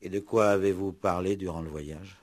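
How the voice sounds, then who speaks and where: An actor playing a probing, dryly sceptical police commissioner in a 1960s French radio play, questioning in a curt, methodical way with grunts and short prompts. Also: male voice, deep voice, fairly even intonation, moderate pace, darkish tone.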